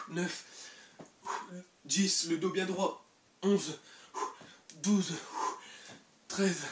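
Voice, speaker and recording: A man's voice: high-pitched, background noise. Breathless young man coaching from across a room. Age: young adult. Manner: energetic, encouraging, exercising while talking. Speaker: fitness youtuber. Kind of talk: home workout coaching, counting reps. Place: bedroom at home. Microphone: camera mic from across the room. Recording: echoey room, voice from far off.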